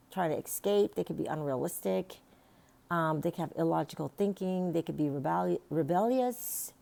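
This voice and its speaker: A woman with a raspy, hoarse voice giving a casual tarot reading, rambling with many fillers and restarts. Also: lowish voice, a little mellow. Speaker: tarot reader.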